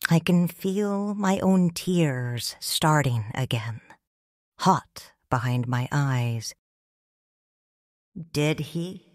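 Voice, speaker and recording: A woman narrating in first person as a grieving widowed noble mother in a fan audio drama, quiet and restrained. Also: low-pitched, no echo, studio quality. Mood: sorrowful. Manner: slow, hushed storytelling.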